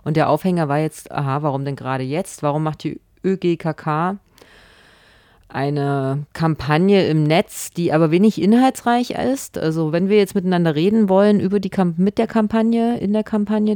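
A woman's voice: fairly low pitch, normal speed, dark tone, no room sound, studio quality. A woman, co-hosting a casual discussion podcast, chatty and questioning. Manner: chatty, questioning, laughs often. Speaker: podcast co-host.

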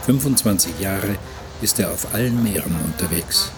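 An actor playing an observant novice galley hand, narrating his voyage diary in a measured, reflective way for a radio play. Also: on the low side, animated, over music, close to an everyday voice.

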